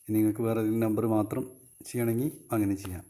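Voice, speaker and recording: Low, mellow-voiced Malayali man explaining. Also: level tone, racing. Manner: informal, explanatory, repeats points. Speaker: video tutorial host. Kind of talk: casual step-by-step phone tutorial.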